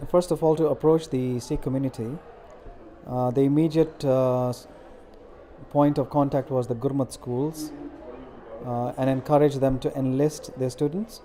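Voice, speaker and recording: A middle-aged man answers questions earnestly and at a measured pace, pausing often on fillers. His voice is mellow and dark, sitting in an ordinary middle pitch. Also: slight background noise. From India. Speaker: interviewee, community organizer. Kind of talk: interview answers.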